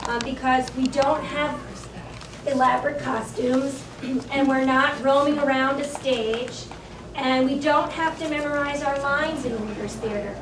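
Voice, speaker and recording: A woman's voice: high-pitched, fairly even intonation, measured pace. A woman teacher giving an informal, explanatory welcome speech to an audience, projecting her voice. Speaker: teacher introducing a children's reading performance. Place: crowded classroom, recorded from the audience. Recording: distant, echoey, noisy room recording.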